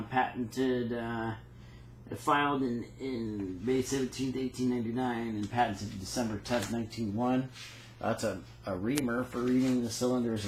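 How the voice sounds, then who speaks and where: Halting man in an echoey room. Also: mid-range voice, slight background noise. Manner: halting, reads out dates and patent text. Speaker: phonograph cylinder maker. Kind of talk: casual show-and-tell walkthrough.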